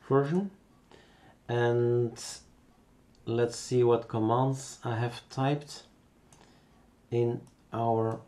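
A man with a Belgian accent giving a casual, unscripted software tutorial, thinking aloud as he works. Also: medium pitch, gravelly.

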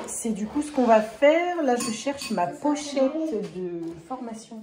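A woman in her forties from France, hoarse today, chatting casually to a phone camera in her kitchen with many fillers and restarts. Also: on the high side, lively intonation, slow pace. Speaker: family vlogger. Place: home kitchen, indoors. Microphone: phone camera at arm's length. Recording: echoey room with family noise.

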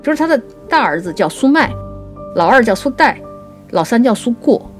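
Expressive woman discussing poetry over music. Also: a little high. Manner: explanatory, slows down to recite quotations. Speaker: writer and co-host of a poetry programme. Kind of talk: conversational literary discussion with recited classical verse.

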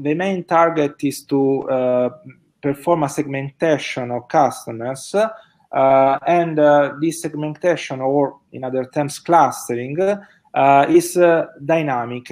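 A man's voice: a little high, a little mellow. A middle-aged man answering audience questions on a video call, speaking hesitantly with many fillers and restarts. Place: indoors at home. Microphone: video call webcam.

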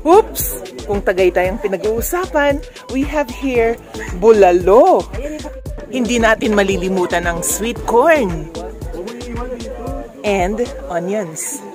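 A woman's voice: mid-range voice, takes it slowly, long pauses. A middle-aged woman with a Filipino accent narrating a family food vlog with exclamations and cheery greetings. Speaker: vlogger. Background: music.

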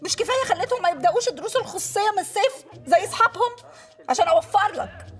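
A woman's voice: very high voice, lively intonation, very fast, soft background music. A woman playing an exasperated, scheming Egyptian mother and wife in a TV comedy-drama, with a dramatic, complaining manner. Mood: indignant. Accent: Egyptian Arabic. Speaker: actress. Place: furnished home interior, film set.

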